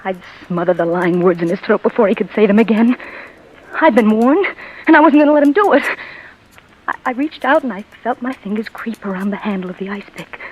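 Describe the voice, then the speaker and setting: A woman's voice: a little high, muffled recording. A woman in 1940s radio drama style, playing a frightened young wife who narrates her own descent into murder in breathless, stammering words. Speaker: narrator and lead character. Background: music.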